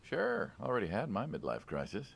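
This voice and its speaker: An actor playing an affable middle-aged mobster in a story game, gravelly, conversational and coaxing, with quiet menace. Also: male voice, lowish voice, huge pitch swings, moderate pace, a little hiss or hum, full character voice. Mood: easygoing. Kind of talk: naturalistic narrative game voice acting.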